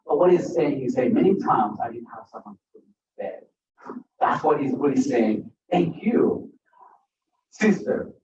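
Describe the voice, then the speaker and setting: A man's voice: a little high, sing-song, normal speed, vocal fry, noisy background. A man from Uganda speaking at an author event, chatting with the audience and joking between stories. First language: a language other than English. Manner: conversational, jokes with the crowd. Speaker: author at a book talk. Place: bookstore event room. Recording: roomy, distant, dull bookstore recording.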